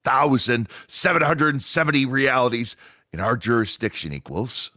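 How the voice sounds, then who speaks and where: A man playing a grave commanding agent in a sci-fi radio drama, briefing with deliberate, dramatic emphasis and pauses. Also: mid-range voice, very animated, sharp tone, dry recording, studio quality. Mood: grave, urgent. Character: grave male commanding agent of a multiverse agency.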